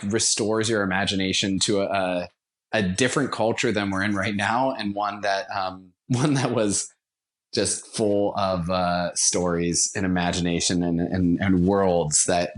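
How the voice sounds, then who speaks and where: A man with a fairly low-pitched voice talks as a guest in a conversational interview. He explains his work as a director with evident enthusiasm. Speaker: podcast guest, radio theatre director.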